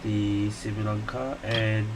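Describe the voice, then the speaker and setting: A man's voice: on the low side, little pitch movement, takes it slowly. A man giving casual gameplay commentary, musing aloud in short questions and remarks. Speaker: gaming video creator. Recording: loud constant background noise.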